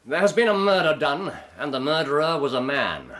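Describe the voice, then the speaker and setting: A man's voice: a little high, big pitch swings, brisk, a bit bright. An English man acting in a radio drama as a brilliant, self-assured Victorian detective, dryly teasing and precise as he explains. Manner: precise, fluent deductive explaining.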